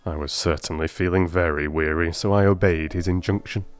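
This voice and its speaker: A man speaking as an audiobook narrator and producer, in a measured, courteous storytelling style. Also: low-pitched, fairly even intonation, soft background music. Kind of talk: measured storytelling and listener address.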